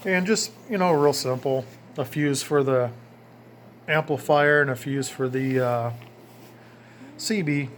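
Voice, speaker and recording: A man's voice: medium pitch, a little slow, long pauses. Casual man narrating over noticeable background noise. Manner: plainspoken, informal, pointing things out as he goes. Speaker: DIY hobbyist showing his own install.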